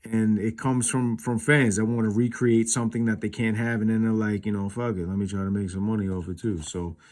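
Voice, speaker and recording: A man's voice: lowish voice, little pitch movement, a little fast, darkish tone. A man giving a casual, unscripted comparison as a collector hosting his own video, explaining things in an informal, rambling way. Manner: informal, rambling, explanatory, peppered with you knows.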